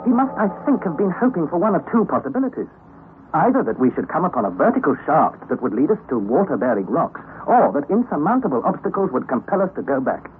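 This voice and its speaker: A man's voice: fairly high pitch, very animated, quick pace, soft background music. A male actor playing a young adventurer who narrates his own journey in an old radio drama, in an earnest, dramatic manner. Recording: old lo-fi radio broadcast, faint hiss.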